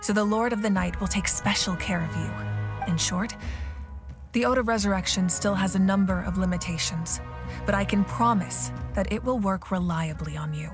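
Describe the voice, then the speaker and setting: A woman voicing a composed, determined war leader and protector in a video game, calm, earnest and measured. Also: mid-range voice, lightly acted. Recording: faint music behind the voice.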